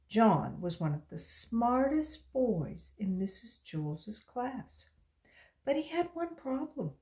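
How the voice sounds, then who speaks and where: Slow, very animated woman reading stories. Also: mid-range voice. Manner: explains to young listeners. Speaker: volunteer children's story reader. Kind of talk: animated storybook read-aloud to children.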